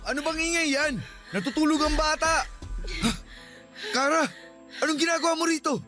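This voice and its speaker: A man's voice: extremely high pitch, fairly even intonation, moderate pace, sharp tone, soft background music. A man playing a defensive, agitated cheating husband who shifts blame in a radio drama. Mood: irritated. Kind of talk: Tagalog radio drama acting.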